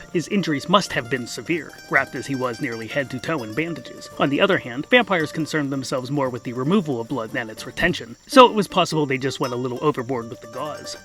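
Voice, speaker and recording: A man's voice: medium pitch, a bit bright. A man reading scripted comic fantasy as narrator, in a wry, mock-literary manner.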